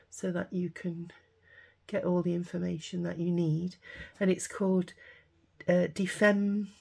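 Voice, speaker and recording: Woman craft vlogger, sick and croaky, gravelly dark tone, apologetic and rambling. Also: lowish voice. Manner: rambling, lots of ums and restarts, apologetic. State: has a cold, croaky with a sore throat. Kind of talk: casual craft tutorial chat.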